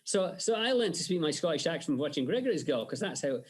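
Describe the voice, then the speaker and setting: An English man chatting as an interview guest, telling animated stories. His voice sits fairly high, and his delivery is lively and casual. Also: quick pace. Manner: animated storyteller who slips into Scottish impressions. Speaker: guest, special effects artist. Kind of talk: casual anecdotal chat.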